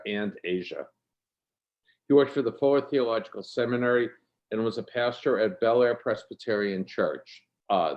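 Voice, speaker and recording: A man's voice: medium pitch, darkish tone. A man introducing a guest speaker on a video call, reading a biography in a friendly, hesitant way. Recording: dull lo-fi video call audio.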